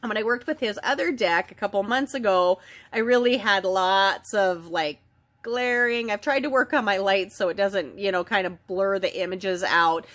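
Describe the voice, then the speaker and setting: Stuffed-up woman giving a gentle card reading. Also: medium pitch, moderate pace. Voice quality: nasal. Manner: chatty, gentle, with frequent ums and self-corrections. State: stuffed up with a head cold. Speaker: oracle card reader. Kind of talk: casual spiritual card reading.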